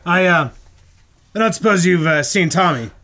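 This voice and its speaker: A man playing a world-weary, avuncular radio station boss with a wry, halting delivery in an old-time radio drama. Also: high voice, expressive, sharp tone, heavily acted. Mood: resigned. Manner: wry, hesitant pauses, calls people kid.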